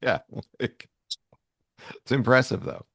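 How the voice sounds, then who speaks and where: A man's voice: mid-range voice, slow, creaky, a bit bright, husky, dry recording, clean studio sound. A man co-hosting a comedy podcast, casually commenting and reading aloud. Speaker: podcast co-host.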